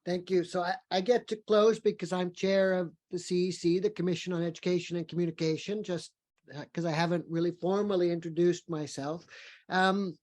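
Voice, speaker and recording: A woman's voice: lowish voice, fairly even intonation, brisk, darkish tone. A woman giving informal, appreciative closing remarks as chair of an online panel. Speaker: commission chair.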